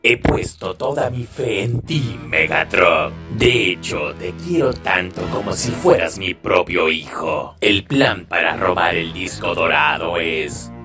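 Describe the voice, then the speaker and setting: Guy doing smug robot crime boss in a cartoon, latin american accent, low swooping theatrical voice, condescending, drags it out, music behind. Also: animated. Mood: smug, menacing. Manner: theatrical, drawn-out, condescending menace. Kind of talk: dramatic dubbed villain monologue.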